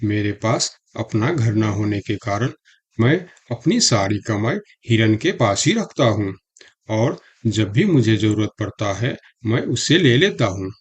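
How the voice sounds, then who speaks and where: A man's voice: on the low side, sing-song, measured pace, husky, heavily acted. A man voicing a gullible, innocent donkey in a children's cartoon story, naive and earnest. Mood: trusting, eager. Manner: naive, wide-eyed, earnest. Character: gullible, innocent male donkey, eager and easily fooled.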